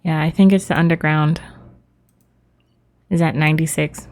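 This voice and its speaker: Mellow, lowish-voiced woman streamer. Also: steady tone, normal speed. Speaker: game livestreamer. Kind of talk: casual livestream chat with viewers while playing.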